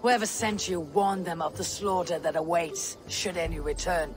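Male actor as Viking clan leader, stern, measured, commanding, raised pitch, dramatic game acting. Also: steady tone, over music, natural voice. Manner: firm, authoritative, measured.

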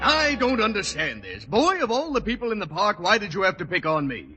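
An actor playing a pompous, blustering middle-aged education official in a 1940s radio sitcom. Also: male voice, sits high, big pitch swings, brisk, lo-fi sound, over music. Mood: puzzled. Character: pompous, easily indignant chubby state education official. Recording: old radio recording, faint noise.

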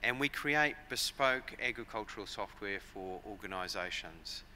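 A man in his forties with a New Zealand accent, giving a measured, explanatory conference talk at a lectern with frequent fillers and restarts. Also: on the low side, lively intonation, bright, forceful tone, a little hiss or hum. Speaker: conference speaker. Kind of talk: conference presentation. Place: large conference hall, at a lectern. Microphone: lectern microphones.

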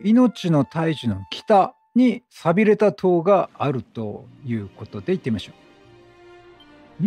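A man doing excitable game commentary in casual slang. His voice is high and husky with big swings in pitch, close on the mic over faint music. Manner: chatty, reactive, slangy. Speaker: let's play commentator.

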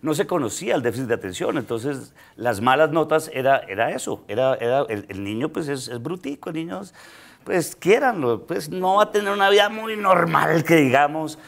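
A man's voice: fairly high pitch, expressive, normal speed, creaky. A middle-aged man from Colombia, a guest comedian on a studio talk show, telling a personal story with many hesitations and laughs. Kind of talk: casual talk show chat. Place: studio set.

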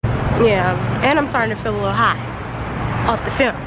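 A young woman vlogging casually about her beauty room, chatty and playful, with slang, and a little hoarse. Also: mid-range voice, moderate pace. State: hoarse, voice partly lost. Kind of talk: casual vlog chat. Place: home beauty room, indoors, and outdoors in wind. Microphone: handheld camera at arm's length. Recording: noisy handheld vlog audio.

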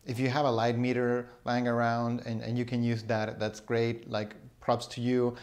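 A man's voice: medium pitch, completely flat delivery, moderate pace, a little mellow. Chatty man explaining to camera. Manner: chatty, with fillers and laughs. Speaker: photography vlogger. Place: small indoor room with shelves. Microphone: camera in front, close framing.

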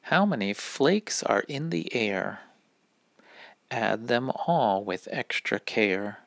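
A man reading a rhyming children's picture book aloud in a deliberate, instructive manner. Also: on the low side, lively intonation, creaky, dry recording. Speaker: read-aloud reader.

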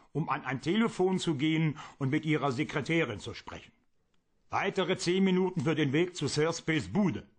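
An actor playing a suspicious, accusatory police lieutenant in a German radio play, rough-voiced and demanding. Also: male voice, on the high side. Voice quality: husky, rough. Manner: accusatory, demanding, clipped questioning. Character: hostile, suspicious male police lieutenant, interrogating hard.

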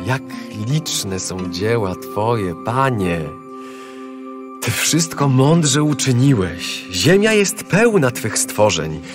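A man giving a prayerful, measured dramatic recitation as a psalm reader. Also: medium pitch, very animated. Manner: reverent, measured. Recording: studio voice over loud music. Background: music.

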